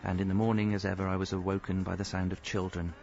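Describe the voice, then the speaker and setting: A man's voice: low-pitched, flat delivery, fast talker, gravelly, a little mellow, dull audio, faint music behind. An actor playing a hapless, earnest young father and husband in a radio comedy, by turns sheepish and drily wry. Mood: wry narrating. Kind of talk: radio comedy acting with wry first-person narration.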